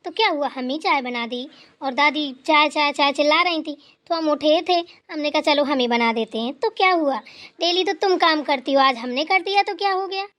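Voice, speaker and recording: A woman's voice: high voice, moderate pace, a bit bright. A woman voicing an elderly mother-in-law who rambles through stories and scolds playfully. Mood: chatty. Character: elderly mother-in-law, chatty, teasing, fond.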